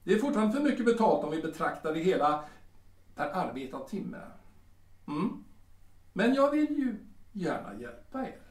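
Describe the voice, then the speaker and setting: A man's voice: high-pitched, very animated, pauses often. A man acting a smug, lecherous café owner in a Swedish audio drama, oily and hesitant, often repeating himself. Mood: dismissive haggling. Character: smug, lecherous male Viennese café owner, haggling and menacing. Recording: roomy, distant from the mic.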